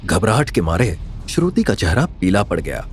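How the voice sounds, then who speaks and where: Expressive male story narrator over music. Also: fairly low pitch, moderate pace. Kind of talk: dramatic scripted story narration. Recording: music under the voice.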